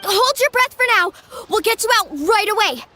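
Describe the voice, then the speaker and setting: Sunny young surfer type, fantasy game, easygoing, high and lively, music behind. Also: female voice, moderate pace. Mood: urgent. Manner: breezy, upbeat. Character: sunny, easygoing young woman who loves the water. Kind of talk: animated fantasy game acting.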